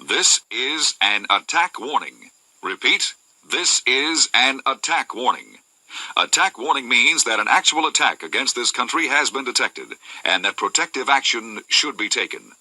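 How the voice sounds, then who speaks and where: A man's voice: medium pitch, pushed and bright, no room sound, low-quality recording. A man reading a formal scripted warning bulletin as an emergency broadcast announcer, with emphatic delivery.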